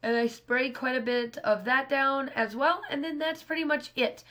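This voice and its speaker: A woman in her thirties with a hoarse, scratchy voice, casually talking through a scrapbooking craft project in a rambling way. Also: sits high, fairly even intonation, normal speed, sharp tone. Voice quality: rambling, restarts often. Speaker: scrapbooking channel host. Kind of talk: casual voice-over narration of a craft process.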